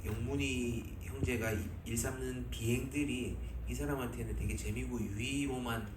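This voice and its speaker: A man, a film director, answering slowly at a press conference and searching for his words. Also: medium pitch, steady tone. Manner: halting, searching for words, many fillers. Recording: echoey hall from far off, background noise.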